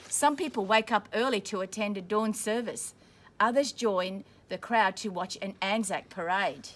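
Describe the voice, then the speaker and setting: Aussie woman reading a kids book out loud, stops to explain the hard words. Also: mid-range voice, slight background noise. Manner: pauses to explain words simply. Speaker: school staff member reading aloud. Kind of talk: reading a picture book to children.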